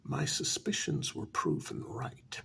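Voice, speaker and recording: Slow, creaky, half-whispering man. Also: extremely low pitch, very animated, a bit bright, slight background noise. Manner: deliberate, suspenseful, with many dramatic pauses. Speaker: storyteller. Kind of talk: soft-spoken ASMR story narration, first-person role-play.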